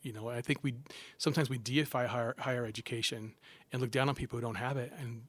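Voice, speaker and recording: A middle-aged man trades stories in a relaxed interview, his voice sitting at an ordinary pitch. He jokes at his own expense and tosses in quick asides. The sound is close and dry, with no room around it. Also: no echo. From Southern California. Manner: animated, self-deprecating jokes, quick asides. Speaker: guest, psychology professor. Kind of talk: casual interview storytelling.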